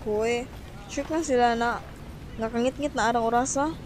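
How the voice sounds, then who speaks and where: A woman's voice: on the high side, normal speed, darkish tone, slight background noise, natural voice. A young woman with a Filipino accent playing a trusting girlfriend who is anxious about her boyfriend, in a radio drama. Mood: casual, dismissive.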